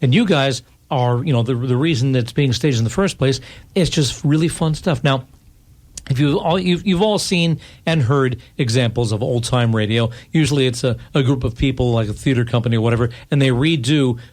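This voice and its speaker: A man's voice: mid-range voice, darkish tone. A man with an American accent hosting a live radio interview in an enthusiastic, chatty, stop-and-start way. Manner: enthusiastic, chatty, halting restarts. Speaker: radio host.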